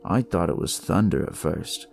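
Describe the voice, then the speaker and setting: A man narrating dramatic noir horror in the first person, with a deliberate, brooding delivery. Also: deep voice, lively intonation, creaky, darkish tone. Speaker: storyteller reading in first person as a detective. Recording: music behind the voice.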